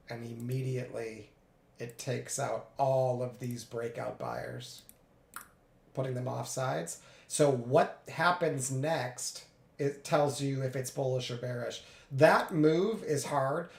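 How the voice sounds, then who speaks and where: A man getting over a cold, a little congested, narrating his live trades in a relaxed way with many fillers. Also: mid-range voice, vocal fry, echoey room. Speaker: futures day trader streaming live. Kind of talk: live trading commentary.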